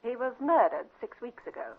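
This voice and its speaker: An actress playing a frank, bitter widow in a classic radio drama, with an English accent and a dry, unsentimental manner. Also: female voice, a little high, big pitch swings, brisk. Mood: guarded. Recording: dull vintage radio recording.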